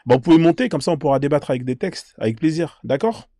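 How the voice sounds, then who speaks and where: Man, debate host, quick talker, insistent, polite, dry close sound. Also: on the high side, animated, mellow, dry recording. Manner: insistent, polite, repeats requests. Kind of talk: live online debate with callers.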